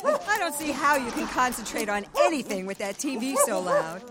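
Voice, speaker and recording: A woman playing a wry, gently exasperated mother of teenagers in a live audio drama, teasing and expressive. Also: high voice, moderate pace, a loud crowd.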